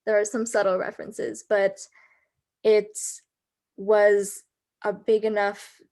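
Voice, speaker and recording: An American young woman in her late teens, chatting eagerly about the play she wrote. Her voice sits at an everyday middle pitch, and her talk is explanatory and lively, with frequent "like"s. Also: slow. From United States. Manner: enthusiastic, explanatory, with fillers like "like". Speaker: student playwright. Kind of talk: casual discussion on a video call.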